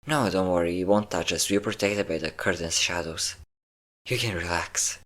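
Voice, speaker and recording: Man acting out a low-voiced, possessive vampire lover. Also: moderate pace, a bit bright. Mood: relieved, tender. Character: possessive, obsessive male vampire lover, menacing and pleading. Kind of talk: intimate roleplay audio drama.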